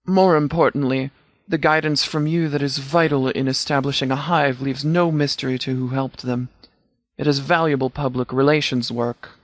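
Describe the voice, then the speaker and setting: A man playing a stern, principled post-apocalyptic sheriff in a video game, speaking in a formal, measured, articulate way. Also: medium pitch, fairly even intonation, no echo, lightly acted. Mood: approving, matter-of-fact. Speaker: voice actor in a game mod. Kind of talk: scripted video game character dialogue.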